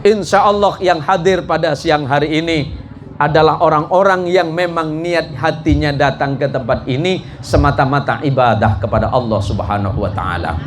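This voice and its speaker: High-voiced male preacher, folksy. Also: moderate pace. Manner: jocular, folksy, asks the listeners questions. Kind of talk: sermon to a congregation. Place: mosque gathering before a live congregation. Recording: live talk with clear background noise.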